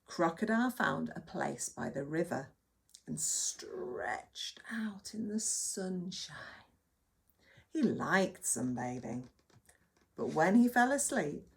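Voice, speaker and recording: A woman reading a children's story aloud as a nursery teacher, with dramatic emphasis and hushed moments. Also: lowish voice, sing-song, slow pace, vocal fry.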